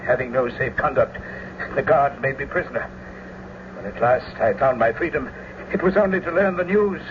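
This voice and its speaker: A man playing a loyal young gentleman in a classical tragedy, speaking urgently in formal phrases. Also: medium pitch, normal speed, phone-quality sound, lightly acted. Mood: agitated. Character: loyal young gentleman bearing grave news. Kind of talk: classical tragedy radio acting. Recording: thin, noisy old radio recording.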